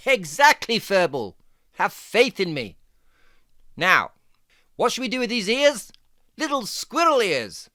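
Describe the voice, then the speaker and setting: A performer voicing a jaunty, fussy fox who gives playful, step-by-step drawing lessons for children in a theatrical, deliberate, encouraging way. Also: medium pitch, sing-song, sharp tone, no room sound, studio quality, heavily acted. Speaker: presenter of children's drawing tutorial.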